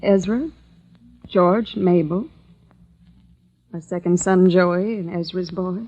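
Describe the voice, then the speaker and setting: An actress playing a steadfast survivor's wife, speaking in an earnest, measured old-time radio style. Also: female voice, lowish voice, steady tone, a little mellow, muffled recording. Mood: tender, steady.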